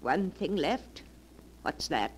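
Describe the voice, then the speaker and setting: An actress playing a genteel, naive and proud old spinster in emphatic 1940s radio melodrama. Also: female voice, deep voice, very animated, takes it slowly, full character voice. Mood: bewildered. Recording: noisy vintage 1940s radio drama.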